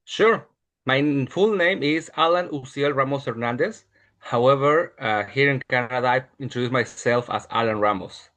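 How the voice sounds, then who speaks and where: Interview guest: Mexican man, early thirties, native Spanish speaker, medium-pitched, casual delivery. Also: moderate pace, fairly bright tone. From Mexico City and State of Mexico, Mexico.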